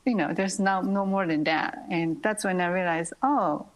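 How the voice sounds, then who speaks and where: A Japanese-born woman ballet dancer in her thirties, speaking English as a second language in an interview, soft-spoken with frequent pauses and light laughter. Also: lowish voice, poor audio. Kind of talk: interview, answering questions.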